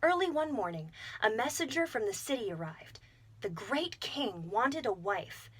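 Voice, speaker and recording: A woman reading a storybook aloud to children with clear, expressive delivery. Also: on the high side, a little slow.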